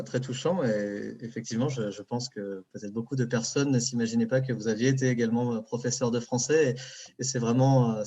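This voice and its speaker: A man's voice: medium pitch, dark tone, dull audio. A man moderating a video meeting, polite and hesitant, with many fillers. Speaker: event moderator.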